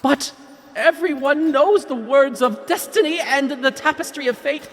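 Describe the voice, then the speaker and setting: Guy doing a timid assistant to the fates, really high lively voice, hesitant, echoey hall, audio drama. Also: unhurried. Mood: nervous, reluctant. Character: nervous, hesitant underling of the Fates. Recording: hall reverb, music underneath.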